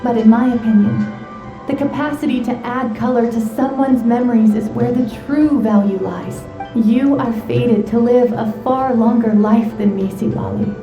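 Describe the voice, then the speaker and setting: A serene, wise woman shaman in an anime game. Her voice sits fairly high, with measured, solemn, tender delivery. It echoes as in a dream, with music clearly behind it. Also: phone-quality sound. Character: gentle, wise female shaman friend, serene and wistful. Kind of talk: anime game dramatic voice acting. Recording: echoing, dreamlike reverb effect.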